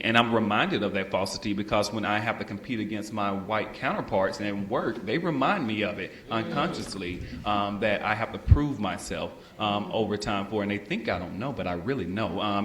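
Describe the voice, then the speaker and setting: Low-voiced Southern man, echoey room. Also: fairly bright tone. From Montgomery, Alabama. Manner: measured, many "um" and "uh" fillers. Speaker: panelist, works with students. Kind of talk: panel discussion. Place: large room with audience.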